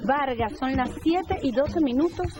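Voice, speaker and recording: A woman presents a live morning TV show in a friendly manner, her voice a little high. Clear background noise runs under her. She reads out viewer messages between segments. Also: expressive, measured pace. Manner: friendly presenter reading tweets.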